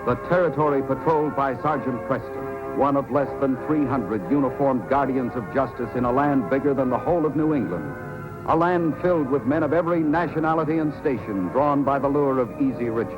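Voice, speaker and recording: A man's voice: mid-range voice, fairly quick, muffled recording, over music. A man narrating a scripted adventure serial as a dramatic, declamatory 1940s radio announcer. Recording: old radio recording.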